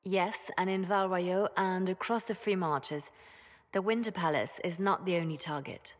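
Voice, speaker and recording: An actress playing a spymaster who reports in a cool, measured, matter-of-fact way. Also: female voice, on the low side, lightly acted. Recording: game audio, long reverb, noise.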